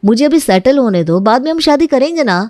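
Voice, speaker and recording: A woman's voice: high-pitched, animated, fairly quick, a little mellow, no room sound, studio quality, pitched above the performer's own voice. A woman voicing an earnest, evasive young man in a cartoon family story. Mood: earnest, firm. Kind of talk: cartoon moral-story dialogue.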